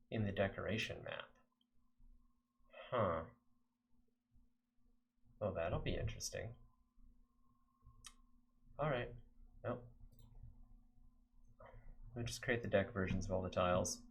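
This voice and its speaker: A man's voice: lowish voice, expressive, slow pace, long pauses, a little hiss or hum. A young American man from Texas chatting casually to his viewers while coding on a live stream, rambling with lots of fillers. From Texas, USA. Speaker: game developer streamer.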